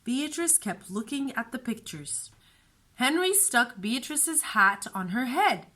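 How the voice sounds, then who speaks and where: A woman storyteller narrating a children's storybook in an expressive, deliberate way. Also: fairly high pitch.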